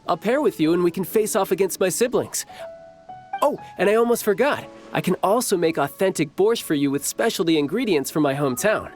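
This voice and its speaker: A man voicing a cocky, friendly young warrior in a video game, with a teasing, confident manner. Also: high-pitched. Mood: fond, eager. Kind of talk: video game character voice lines.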